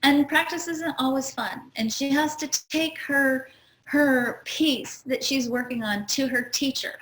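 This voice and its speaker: A woman's voice: high-pitched, measured pace, fairly bright tone, reverberant, a little hiss or hum, rough recording. A middle-aged woman hosting a spiritual astrology talk show, explaining in an earnest teaching tone. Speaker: show host.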